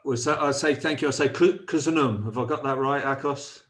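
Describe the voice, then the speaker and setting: A man's voice: medium pitch, brisk. A man co-hosting a podcast, talking in a cheery, friendly way on a video call. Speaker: podcast co-host. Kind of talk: podcast interview chat.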